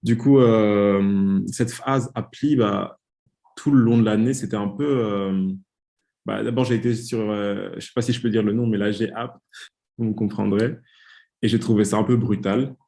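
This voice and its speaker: A man's voice: on the low side, steady tone, unhurried, gravelly, dark tone. A man in his twenties from Congo, a podcast guest telling his story in a hesitant, casual way with many fillers. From Democratic Republic of the Congo. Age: 20s. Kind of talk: casual interview talk.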